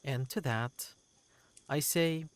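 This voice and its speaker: A man's voice: mid-range voice, takes it slowly, clear, resonant tone, pitched above the performer's own voice, natural voice. A man voicing an eccentric, proud wanderer creature telling tales in a fantasy game, with a theatrical, whimsical and courteous manner. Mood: excited, boastful. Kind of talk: character voice in a fantasy game reading.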